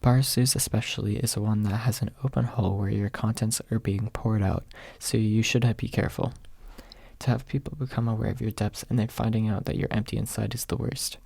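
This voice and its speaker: Man reading as a haughty, husky maid. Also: lowish voice, flat delivery, fairly quick, darkish tone, dry recording. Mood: dismissive. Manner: dry, dismissive, refers to self in third person. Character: haughty, blunt young pink-haired maid, a woman. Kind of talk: ASMR audiobook character reading.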